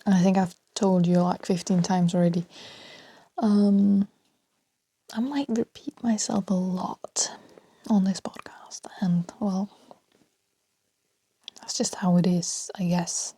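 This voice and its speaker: Slow, soft-spoken Danish woman. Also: medium pitch, fairly even intonation, slightly rough. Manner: rambling, soft, often half-whispered, laughs between thoughts. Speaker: podcast host. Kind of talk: unscripted solo podcast rambling.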